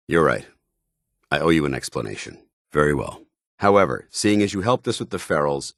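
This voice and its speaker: An American actor playing a stern, disciplined armored soldier in a video game, speaking in a formal, clipped, commanding way. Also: male voice, a bass voice, husky, no room sound, clean studio sound, full character voice. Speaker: video game companion character. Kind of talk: scripted game dialogue and combat barks.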